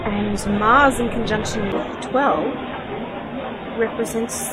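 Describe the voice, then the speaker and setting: A woman's voice: mid-range voice, fairly even intonation, slow, over a noisy crowd, heavy background noise, close to an everyday voice. A woman playing a curious, stubborn young niece and travelling companion in a radio drama, with an inquisitive, questioning delivery. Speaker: actor in a radio play.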